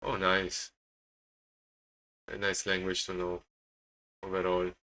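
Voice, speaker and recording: Monotone Italian-accented man, low voice. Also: low-quality recording. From Italy. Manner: halting, repeats and searches for words. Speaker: day trading live streamer. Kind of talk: casual live market commentary.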